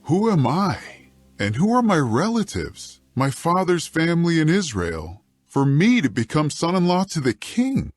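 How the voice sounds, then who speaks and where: A man's voice: on the high side, wildly swooping pitch, a little slow, dry recording, faint music behind, full character voice. An actor playing a humble, earnest young man who is modest before his king, in a dramatized scripture audio drama.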